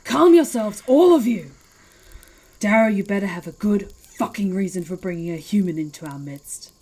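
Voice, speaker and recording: A woman playing a fierce, proud werewolf chieftain in an ASMR roleplay, with a husky, breathy voice and an imperious, taunting manner. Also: mid-range voice, animated, normal speed, a little mellow, lightly acted. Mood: commanding. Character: commanding female werewolf chieftain, fierce, proud, coolly menacing.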